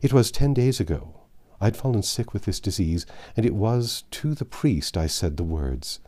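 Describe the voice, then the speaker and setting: A man's voice: on the low side, warm tone, close to an everyday voice. A man reading an audiobook as a grieving, starving peasant mother, telling of hardship in impassioned, archaic speech. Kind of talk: dramatic audiobook character reading.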